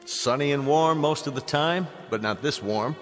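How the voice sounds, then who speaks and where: An actor playing an easygoing, reasonable military colonel from a spaceship in a radio drama, with a measured, assured delivery. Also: male voice, a little high, lightly acted. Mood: friendly. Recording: radio drama with music underneath.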